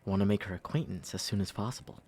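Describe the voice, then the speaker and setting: A man's voice: fairly low pitch, fast, darkish tone, slightly rough. A man playing a pompous, entitled young prince in a fantasy audio drama, haughty and condescending. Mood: smug. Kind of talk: fantasy audio roleplay acting.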